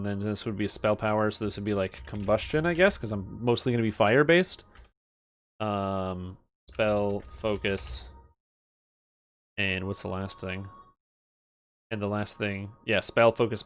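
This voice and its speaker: A man giving casual live game stream commentary, thinking aloud as he mutters through details and swears now and then. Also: lowish voice. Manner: muttering through item stats, profane.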